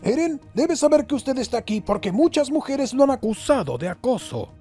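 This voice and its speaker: A man's voice: extremely high pitch, sing-song, moderate pace, mellow, soft background music. An actor playing a stern old male judge in a comedic anime parody, formal, scolding and easily exasperated.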